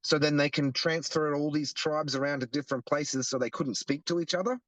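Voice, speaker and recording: A young Australian man talks in an earnest, explanatory way, circling back and restarting his sentences. His voice sits a little high for a man. The recording is close and dry. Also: steady tone, fairly quick, dry recording, rough recording. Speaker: guest, activist. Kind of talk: casual interview.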